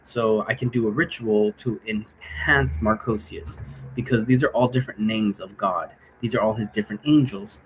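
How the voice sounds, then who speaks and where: A man giving a casual, unscripted explanation as a teacher, rambling along and often checking understanding with a quick "right?". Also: on the low side, fairly even intonation, a little hiss or hum, poor audio. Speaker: teacher explaining occult role-play rules.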